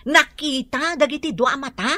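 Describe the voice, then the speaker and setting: A woman's voice: high-pitched, normal speed, pushed and bright, no echo. An actress playing a gossiping middle-aged village woman, insistent about her news. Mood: gossipy. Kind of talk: radio drama acting.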